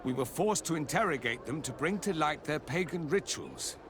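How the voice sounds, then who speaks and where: Haughty zealot inquisitor played by a man, very animated. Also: a little high, moderate pace, noisy background, natural voice. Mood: grudging. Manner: haughty, indignant, formal. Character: pious, zealous, evasive male inquisitor. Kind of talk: video game voice acting.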